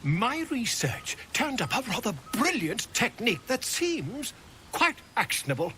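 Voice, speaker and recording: A man's voice: very high voice, sing-song, a little slow, bright, forceful tone, slight background noise, exaggerated voice. A man playing a pompous, overconfident bard and self-styled scholar in a video game, effusive and florid. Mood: cocksure. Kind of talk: video game character acting.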